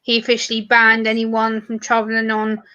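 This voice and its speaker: A woman's voice: on the high side, completely flat delivery, moderate pace, pushed and bright, no room sound, dull audio. Chatty English woman on a livestream. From England. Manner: chatty, reads comments aloud. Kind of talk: casual livestream chat.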